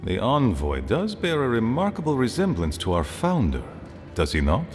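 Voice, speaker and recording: An actor playing a grave, thoughtful council elder in a fantasy game, speaking in a formal, deliberate and solemn way. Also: male voice, medium pitch, wildly swooping pitch, darkish tone, faint music behind. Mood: curious. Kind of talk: dramatic fantasy game acting. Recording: long hall reverb.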